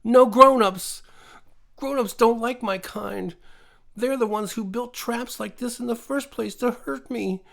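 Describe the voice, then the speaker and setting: A small woodland creature with a mouth full of jagged teeth whimpers and begs in a high, thin, panicky whine. The voice sits far above the teller's own and keeps a gravelly edge. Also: male voice, a little slow, exaggerated voice. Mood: pleading, frightened.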